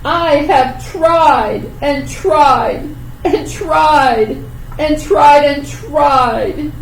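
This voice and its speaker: Storybook elephant, played by a woman: clumsy, earnest, quick to frustration, dragging out words, echoey room. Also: high-pitched, heavily acted. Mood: frustrated, despairing. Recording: roomy, distant mic, faint noise.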